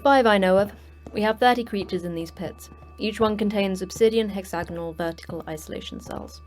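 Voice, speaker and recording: An actress playing the terse, dry manager of a secret prison for monsters in a sci-fi horror audio drama, speaking in a clipped, matter-of-fact way. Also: female voice, medium pitch, a little fast, faint music behind, close to an everyday voice. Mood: dry, grim.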